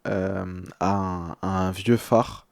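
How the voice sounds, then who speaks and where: A man's voice: low-pitched, little pitch movement, slow, creaky, darkish tone, dry recording. A young man speaking as a streamer in casual live reaction, translating text aloud with slangy, hesitant, uneasy commentary.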